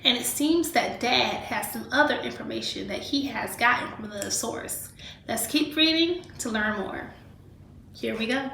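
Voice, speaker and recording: A woman's voice: fairly high pitch, creaky, slight background noise. A woman teacher reading a story aloud to children expressively, with direct teaching asides. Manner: deliberate, animated storybook delivery, addressing children directly. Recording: echoey room.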